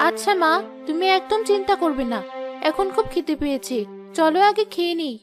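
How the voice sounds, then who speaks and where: A woman's voice: very high voice, lively intonation, fairly quick. A woman playing a brave, reassuring young village daughter in a fairy-tale cartoon. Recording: music behind the voice.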